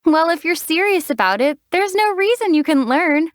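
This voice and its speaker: A young woman voicing a calm, dry-witted heroine in a game, teasing her companion in a deadpan way. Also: extremely high pitch, normal speed, no echo. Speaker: player character, traveler heroine. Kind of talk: game voice acting.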